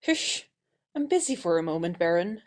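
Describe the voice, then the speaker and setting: An actress playing an imperious but warm-hearted young lady of the house, bossy and emphatic, in a satirical audio play. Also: on the low side, sing-song, moderate pace. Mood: brisk.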